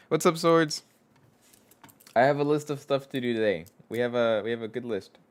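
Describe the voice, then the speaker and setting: A man with a stuffy nose, streaming live as a game developer, chatting casually, reading chat aloud and thinking out loud as he codes. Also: medium pitch, normal speed. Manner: thinks aloud, reads chat aloud, trails off and restarts.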